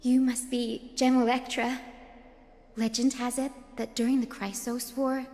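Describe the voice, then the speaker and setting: An actress playing a gentle, earnest young woman in a video game, speaking softly and politely. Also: on the high side, flat delivery, measured pace, big-room sound. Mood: solemn, reverent.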